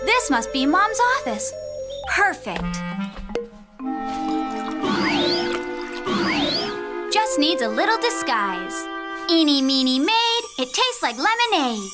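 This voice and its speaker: Cartoon casting: spirited little witch girl, piping high, expressive, over loud music. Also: measured pace. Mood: plotting, excited. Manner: expressive, emphatic. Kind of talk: animated cartoon voice acting, English dub. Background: loud cartoon score.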